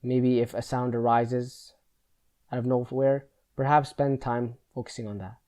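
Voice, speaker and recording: Male meditation guide, mid-pitched and warm, dark-toned, with gentle, measured instructional delivery. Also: flat delivery.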